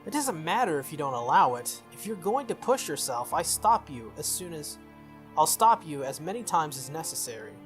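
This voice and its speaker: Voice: mid-range voice, big pitch swings, moderate pace, faint music behind, natural voice. Earnest, exasperated young man read by a streamer. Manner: blunt, scolding. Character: earnest, protective young male master, exasperated and stubborn.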